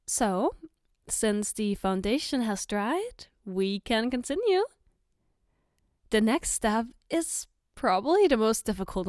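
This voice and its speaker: A woman playing a caring, playful girlfriend in an ASMR roleplay, gently reassuring and patiently explaining as she gives soft personal attention. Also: medium pitch, dry recording, studio quality. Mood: gentle, reassuring. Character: caring, playful girlfriend doing her partner's makeup.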